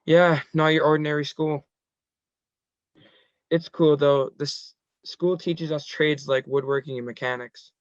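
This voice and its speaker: A man's voice: a little high, steady tone, measured pace, pauses often, dry recording, lightly acted. Guy doing a friendly teen boy in a script read, lightish voice, dull dry mic. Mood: casual, amused. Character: Gerald, good-natured skinny teenage boy, slow learner. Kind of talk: table read of a screenplay.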